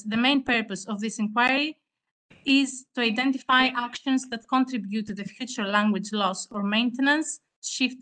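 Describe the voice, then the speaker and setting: Bright-voiced Greek woman presenting research. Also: fairly high pitch, rough recording. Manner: earnest, with frequent hesitations. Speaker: award-winning student presenter. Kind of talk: formal online conference presentation.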